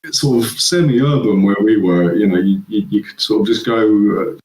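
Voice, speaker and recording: A rambling man from England chatting as a guest. His voice sits on the low side, and it rings around a noticeably echoey room. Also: normal speed, a little mellow. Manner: rambling, laughs at own stories. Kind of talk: casual chat.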